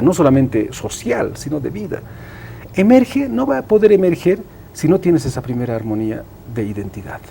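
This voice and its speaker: A man's voice: fairly high pitch, vocal fry, mellow, a little hiss or hum. A man, an Aymara speaker, explaining things emphatically and didactically as an interview guest. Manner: emphatic, didactic, repeats and seeks agreement.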